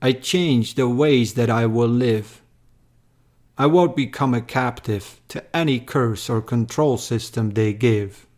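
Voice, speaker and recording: A man reciting a scripted poem in a measured, deliberate way. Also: mid-range voice, a little mellow. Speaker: poem reader.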